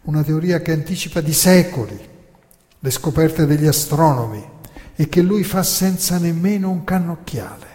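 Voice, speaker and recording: A man narrating history as scripted dramatic storytelling, in a theatrical, measured way with rhetorical pauses and emphasis. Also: on the high side, lively intonation, mellow. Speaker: narrator and author of a radio theatre monologue. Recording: long reverberant hall sound.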